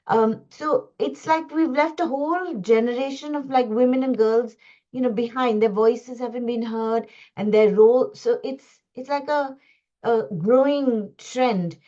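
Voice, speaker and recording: Woman speaker, from India, on a video call: earnest, restart-heavy, slightly high-pitched, muffled line. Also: mellow. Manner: earnest, halting, many restarts and fillers. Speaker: guest speaker. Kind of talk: online webinar talk. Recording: dull lo-fi call audio.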